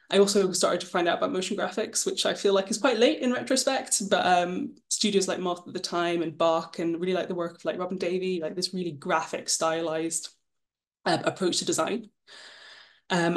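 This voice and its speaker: A woman in her twenties, from Scotland, casually presenting her path as an illustrator, chatty and self-deprecating, with frequent ums. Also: lowish voice. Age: 20s. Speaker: guest illustrator.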